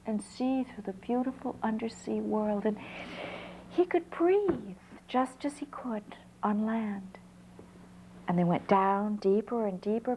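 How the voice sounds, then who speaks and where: A woman storyteller narrating a folk tale in an unhurried way, pausing between short phrases. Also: mid-range voice, darkish tone, a little hiss or hum, low-quality recording.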